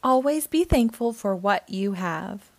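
A woman hosting a storytime, reading a children's picture book aloud in an expressive, theatrical way. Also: mid-range voice, measured pace, no room sound. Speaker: storytime host and reader.

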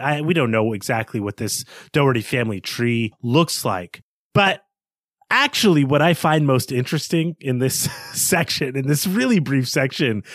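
A man co-hosts a literary podcast in a mid-pitched voice that swings up and down a lot. He muses about the book and trails off into quieter asides. The recording is dry and close. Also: no echo. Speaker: podcast co-host. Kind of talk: casual book discussion.

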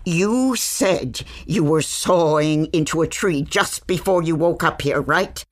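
A woman's voice: low-pitched, slow pace. A woman playing a curt, secretive and exasperated forest dweller in a fantasy audio comedy, commanding and impatient. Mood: urgent, serious.

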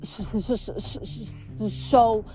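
Woman acting an astonished, halting young heroine. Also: mid-range voice, expressive, music in the background, low-quality recording. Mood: awed, earnest. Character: astonished, earnest young heroine, stammering when awed.

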